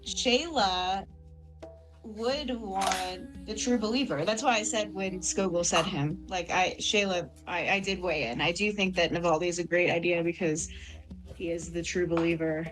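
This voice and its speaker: A woman's voice: on the low side, moderate pace, low-quality recording. A woman player chatting and describing her character in a tabletop role-playing game. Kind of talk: casual tabletop game chat and narration. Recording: music under the voice.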